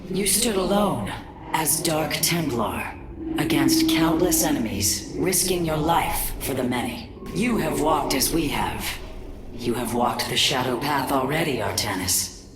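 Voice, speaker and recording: The proud matriarch of an alien warrior people in a game cinematic. She has a very low voice and grave, deliberate, ceremonial delivery, amid heavy background noise. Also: expressive. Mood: solemn, revering. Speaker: video game character voice. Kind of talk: dramatic scripted game cinematic dialogue. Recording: loud ambient noise behind.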